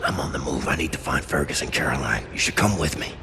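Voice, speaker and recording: An actor playing a weary, brooding resistance soldier in a video game, gravelly and terse. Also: male voice, extremely low pitch, lively intonation, fast talker, background noise, exaggerated voice. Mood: grim resolve. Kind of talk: cinematic video game acting. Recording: loud music over the voice.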